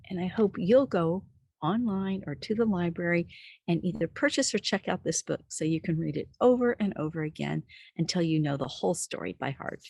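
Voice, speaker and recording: A woman reading a picture book aloud to young children outdoors, expressively, pausing to put questions to the listener. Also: on the low side, darkish tone, dry recording. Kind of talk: animated read-aloud storytelling for young children. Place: outdoors in a backyard with trees.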